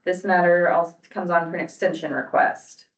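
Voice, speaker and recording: A woman's voice: lowish voice, little pitch movement, moderate pace. A woman chairing a formal commission meeting, running procedure in set, formulaic phrases. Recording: roomy, distant, muffled meeting-room audio.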